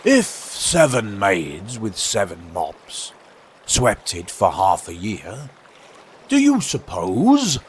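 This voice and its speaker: A man voicing a pompous, unctuous, falsely sorrowful walrus in a storybook poem, with a slow, grandly drawn-out delivery. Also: fairly low pitch, huge pitch swings, slight background noise, full character voice. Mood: pondering.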